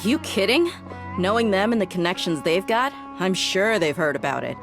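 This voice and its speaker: A woman's voice: mid-range voice, animated, normal speed, close to an everyday voice. An actress playing a bold, adventurous female bodyguard in a video game, casual and self-assured. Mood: confident, teasing. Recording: faint music behind the voice.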